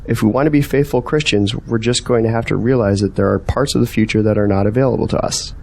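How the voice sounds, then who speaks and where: A man's voice: on the low side, fast, creaky, a little mellow. Earnest man, searching and persuasive, questioning manner, audio drama dialogue, acted by a man. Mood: earnest, resolute.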